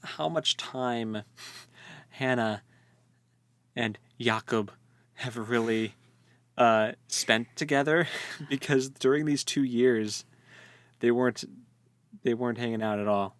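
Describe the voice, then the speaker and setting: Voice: mid-range voice, slow pace, pauses often, a bit bright, dry recording. A podcast co-host chatting casually about a novel with tongue-in-cheek commentary, repeating phrases and jokingly acting out lines. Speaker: co-host of a book read-along podcast.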